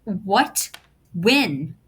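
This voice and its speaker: An actress playing a blunt, sarcastic second-in-command in an audio drama, speaking emphatically. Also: female voice, mid-range voice, very animated, very slow, husky, full character voice. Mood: alarmed.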